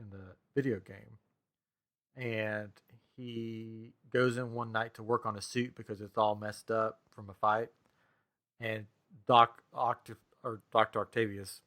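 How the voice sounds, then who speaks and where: A man's voice: lowish voice, a bit bright. A man hosting a podcast, talking in a casual, conversational way about geeky things. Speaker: podcast host. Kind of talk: casual chat about comics and video games.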